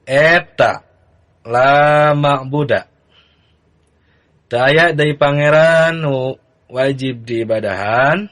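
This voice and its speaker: A man teaching a religious text to beginners in a relaxed, easygoing manner. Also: medium pitch, very slow, pauses often. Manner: relaxed, chanting the text lines in a sung recitation. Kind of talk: kitab reading lesson.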